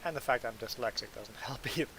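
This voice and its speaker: A man's voice: mid-range voice, quick pace, vocal fry, bright, forceful tone, a little hiss or hum. A man, an English speaker, chatting casually and self-deprecatingly with viewers as a game streamer. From Belize. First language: Plautdietsch (Mennonite Low German). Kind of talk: casual live-stream chat.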